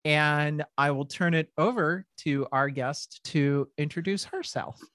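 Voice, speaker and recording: A middle-aged woman hosting a podcast, talking in an animated, rambling way with laughs and restarts. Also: sits low, unhurried, no room sound, clean studio sound. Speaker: podcast host. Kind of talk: casual podcast chat.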